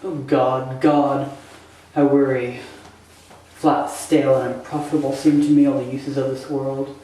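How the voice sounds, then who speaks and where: A man acting a grieving, bitter young prince in a theatrical Shakespearean monologue, with halting, emphatic delivery and dramatic pauses. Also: mid-range voice, little pitch movement, mellow, a little hiss or hum, lightly acted. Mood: weary anguish. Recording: echoey room, distant microphone.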